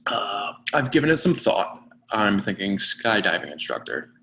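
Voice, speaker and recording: A man's voice: lowish voice, very animated, sharp tone, heavily acted. An actor playing an awkward, lovesick man in his twenties in a play reading, speaking hesitantly and running himself down. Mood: sheepish. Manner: hesitant, halting. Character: lovesick awkward 24-year-old man, self-deprecating. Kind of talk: play reading, confessional monologue.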